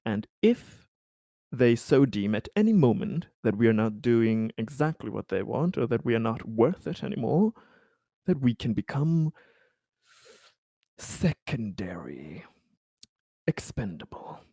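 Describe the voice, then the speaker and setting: A man playing a guarded, diplomatic corporate colony administrator in a roleplaying game, speaking haltingly and deliberately. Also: medium pitch, big pitch swings, mellow, dry recording, studio quality. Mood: worried, calculating. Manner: halting, deliberate, hedging with pauses. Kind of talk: improvised in-character roleplay dialogue.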